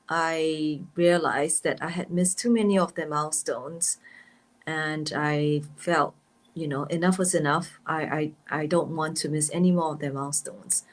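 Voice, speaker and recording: A woman from Singapore speaking as a guest in a video-call chat, calm and reflective. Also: low voice. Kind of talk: casual chat.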